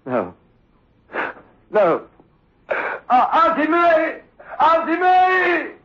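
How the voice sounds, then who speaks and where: A man's voice: extremely high pitch, huge pitch swings, extremely slow, sharp tone, a little hiss or hum, heavily acted. An actor playing a tormented, obsessive young man with a stammering, pleading, overwrought delivery in a 1960s radio horror drama. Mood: terrified. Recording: muffled old radio recording.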